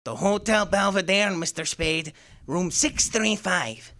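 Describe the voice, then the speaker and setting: A man's voice: high-pitched, expressive, unhurried, bright, forceful tone, exaggerated voice. A man playing a slippery, courteous little crook in a noir radio drama, speaking in formal, precise, over-polite phrases. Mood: polite, guarded.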